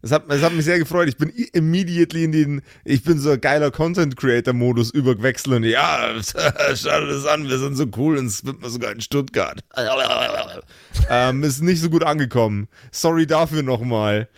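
A man's voice: medium pitch, moderate pace, vocal fry, no echo. Dry-sounding playful game master man. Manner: playful, stammering in chat. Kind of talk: narration and banter.

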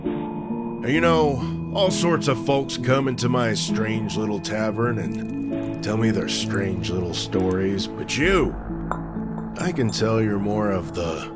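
A man acts as an eerie but welcoming bartender in a horror-comedy radio play. His low voice moves slowly and theatrically, with lively swings in pitch, over loud guitar music. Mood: welcoming, sly. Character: friendly, eerie male tavern owner and bartender.

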